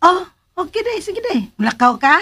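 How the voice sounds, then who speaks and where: An actress with a Filipino accent playing a concerned, kindly aunt in a radio drama, speaking gently and familiarly. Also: female voice, very high voice, big pitch swings, normal speed, heavily acted. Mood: agreeable. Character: concerned, kindly aunt looking after her niece. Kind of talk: Philippine radio drama acting.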